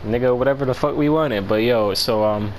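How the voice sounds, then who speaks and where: An American man chatting casually as he tells a story, with slangy, profane and halting delivery. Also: mid-range voice, a little hiss or hum, poor audio. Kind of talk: casual storytelling chat among friends.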